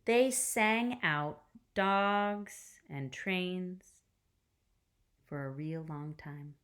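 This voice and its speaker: A woman teacher reading a picture book aloud to children in an expressive way, with deliberate pauses and hushed phrase endings. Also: lowish voice.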